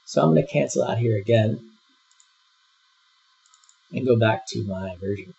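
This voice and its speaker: A man giving a screen-recorded software tutorial, walking through each step in a relaxed way with frequent fillers. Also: fairly low pitch, normal speed, vocal fry, husky. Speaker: software instructor. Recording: dull lo-fi voice-over.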